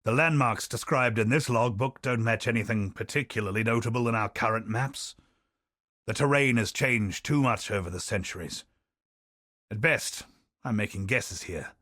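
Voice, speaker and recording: A man's voice: mid-range voice, fairly bright tone, no echo, clean studio sound, close to an everyday voice. A man voicing a grave, learned expedition leader. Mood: steady. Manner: measured, solemn storytelling. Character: grave male expedition captain, learned and wary. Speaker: game character. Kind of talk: video game voice lines.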